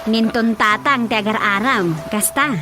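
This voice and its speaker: A woman with an Ilocano Filipino accent playing an insistent, teasing young rural woman in a comedic radio drama, with expressive delivery. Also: on the high side, normal speed, soft background music. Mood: insistent, conversational.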